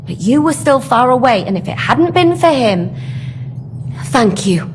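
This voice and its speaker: A woman playing a brisk, sardonic medieval conspirator in a video game, speaking in a dry, practical manner. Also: sits high, sing-song, slight background noise. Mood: concerned. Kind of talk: cinematic video game acting.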